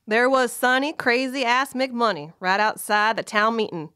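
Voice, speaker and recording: A woman's voice: a little high, normal speed, sharp tone, clear, resonant tone, no room sound, clean studio sound. A woman playing a folksy, wry Old West storyteller, narrating an audio drama. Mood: wry storytelling. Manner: folksy, colloquial.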